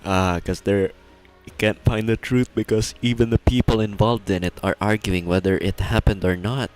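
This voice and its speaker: A man's voice: fairly low pitch, fairly even intonation, moderate pace, darkish tone, smooth. A man doing casual live game commentary as a streamer, musing and hesitant as he thinks aloud. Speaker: livestreamer, gamer. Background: game music.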